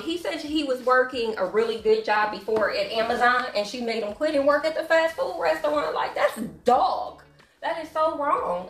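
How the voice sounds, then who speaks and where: A woman, chatting to her viewers while she cleans at home, easygoing and gossipy, calling them y'all. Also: a little high. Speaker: vlogger. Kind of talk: casual chat while cleaning. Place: home living room with hardwood floor. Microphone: camera set up across the room. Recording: echoey room.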